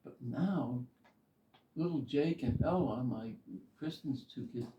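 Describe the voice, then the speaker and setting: An older man with a Boston accent, reminiscing in a life story interview in a halting, meandering way. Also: medium pitch, noisy background. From Boston area, United States. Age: older, 70s or above. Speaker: interviewee, retired army officer. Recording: roomy, distant, slightly muffled.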